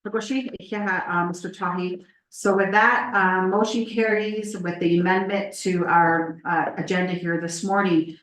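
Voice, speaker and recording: A woman chairing a committee meeting, moving through the agenda in a measured, procedural way with frequent fillers. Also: on the low side, steady tone, a bit bright. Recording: echoey meeting room.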